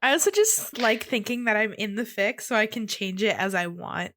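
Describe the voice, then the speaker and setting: A woman's voice: medium pitch, animated, moderate pace, fairly bright tone, a little hiss or hum. A woman co-hosting a podcast with casual, playful and teasing banter. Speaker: podcast co-host.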